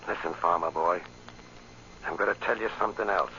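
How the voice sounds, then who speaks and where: A man's voice: low-pitched, a little fast, bright, forceful tone. An actor playing a menacing American crime boss with sneering tough-guy slang, in old-time radio drama style. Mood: cold threat. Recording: muffled, hissy old radio recording.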